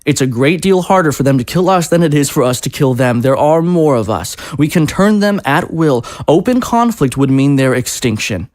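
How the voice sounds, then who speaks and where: An actor playing a presidential aide who speaks in a polished, rhetorical, conciliatory way. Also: male voice, a little high, lively intonation, moderate pace, hardly any pauses, a little mellow, no room sound, studio quality, close to an everyday voice. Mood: persuasive. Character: smooth persuasive presidential aide, man.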